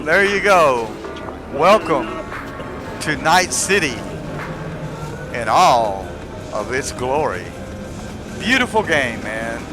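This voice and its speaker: A man's voice: medium pitch, very animated, slow pace, pauses often. A man giving casual, rambling gameplay commentary and chatting to his viewers in a conversational way. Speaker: gaming video creator. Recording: loud music behind the voice.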